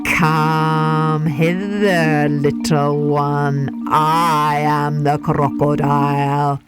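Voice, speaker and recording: A woman voicing a sly, menacing male crocodile with a slow, coaxing drawl in a storybook reading. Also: low-pitched, flat delivery, loud music behind the voice.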